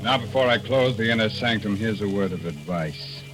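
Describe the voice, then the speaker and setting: Low-voiced, sardonic male horror host. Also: animated, normal speed, over music. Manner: sardonic, macabre, teasing. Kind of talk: 1940s radio horror hosting, scripted banter. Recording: vintage 1940s radio recording.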